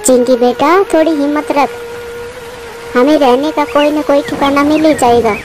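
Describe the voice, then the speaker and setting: Woman voicing a high-pitched, earnest mother bird. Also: steady tone, normal speed, lightly acted. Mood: gentle, encouraging. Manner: earnest, pleading, polite. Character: poor, devoted mother bird, pleading and humble. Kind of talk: Hindi children's cartoon voice acting. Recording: music bed behind the voice.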